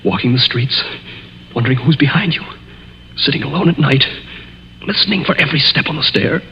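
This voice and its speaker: A man's voice: mid-range voice, fairly quick, gravelly, natural voice. An actor playing a nerve-racked young man hunted by his stepfather, tense and dramatic in the 1940s radio style. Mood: anguished, dread. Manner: tense, agitated, dramatic delivery. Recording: dull, noisy old 1940s radio recording.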